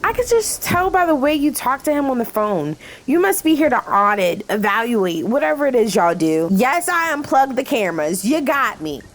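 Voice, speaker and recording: A woman's voice: fairly high pitch, very animated, moderate pace, low-quality recording. A woman playing a blunt, sassy, fed-up waitress in a radio play. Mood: exasperated, defiant. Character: fed-up, outspoken, excitable diner waitress. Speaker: voice actor.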